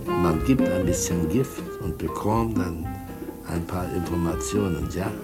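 An actor in a radio drama playing a sly, sinister drug dealer and blackmailer, mockingly polite, smug and cajoling. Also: male voice, deep voice, a little slow, loud music behind the voice. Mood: mocking, threatening. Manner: smug, cajoling, mock-courteous, chuckling. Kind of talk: mid-century German radio drama acting.

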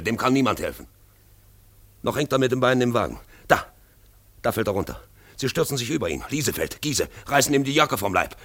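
A man's voice: medium pitch, a bit bright. A man acting a stern commentator in a 1950s German radio drama, in dramatic, clipped short phrases. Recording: 1953 radio recording, faint music behind.

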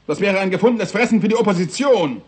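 An actor playing a proper, flustered prime minister in a 1960s radio drama, speaking formally and admonishingly. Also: male voice, sits high, lively intonation, fast talker, a little mellow. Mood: shocked, outraged. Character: high-voiced prime minister, proper, flustered cabinet chairman.

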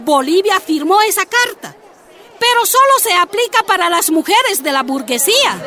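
An actress playing a defiant, plain-spoken miner's wife and union activist in a radio drama, speaking with emphatic indignation. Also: female voice, extremely high pitch, very animated, moderate pace, pushed and bright, exaggerated voice. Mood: impassioned, indignant. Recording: music and crowd behind.

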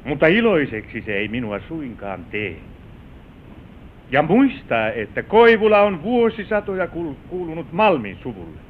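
An actor playing a grave, caring father in his fifties, master of a manor, measured and admonishing in old radio-drama style. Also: mid-range voice. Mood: solemn. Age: 50s. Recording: noisy, tinny old radio drama recording.

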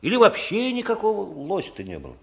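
A man playing a good-natured police lieutenant in a 1960s Soviet radio play, informal, coaxing and gently ironic. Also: sits high, wildly swooping pitch, quick pace, gravelly, full character voice.

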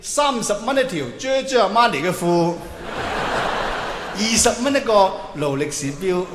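A man with a Hong Kong Cantonese accent doing theatrical, exclamatory stand-up storytelling, acting out dialogue for a live audience. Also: high voice. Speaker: stand-up comedian. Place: large hall with live audience. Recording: echoey hall with noticeable reverb, faint noise.

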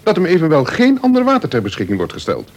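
An actor playing a pompous, sanctimonious prison chaplain in a radio play, formal and preachy with a husky voice. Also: male voice, a little high, huge pitch swings, fast. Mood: solemn, formal.

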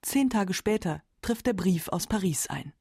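A woman narrating a scripted radio documentary in a calm, factual manner. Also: mid-range voice, measured pace, slight background noise. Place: dry radio studio.

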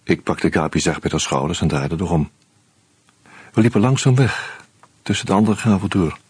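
An actor playing a wry, uneasy screenwriter who narrates a radio play in a measured, reflective way with hesitant fillers. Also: male voice, low voice, animated, darkish tone, breathy edge, heavily acted. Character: wry, uneasy male screenwriter caught up in murders.

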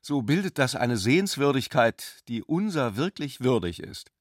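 An actor playing an intellectual, playfully ironic brother in a literary radio drama, speaking eloquently. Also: male voice, mid-range voice, sing-song, normal speed, no echo, clean studio sound. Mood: playful irony.

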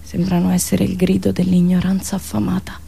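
A woman radio host telling a satirical story theatrically, expressive and deliberate, with dramatic pauses between listed phrases. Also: lowish voice, gravelly, breathy edge.

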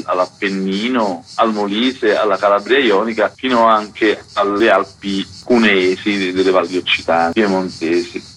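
A man speaking as an interviewed author, explaining in a measured, analytical way on the radio. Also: lowish voice, fairly bright tone, a little hiss or hum, low-quality recording. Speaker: book author being interviewed.

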